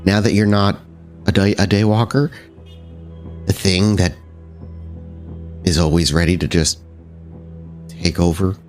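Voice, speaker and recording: A man voicing a wary, caring female vampire who speaks in halting, earnest bursts with many restarts. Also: low voice, darkish tone, close to an everyday voice. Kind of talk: in-character roleplay dialogue.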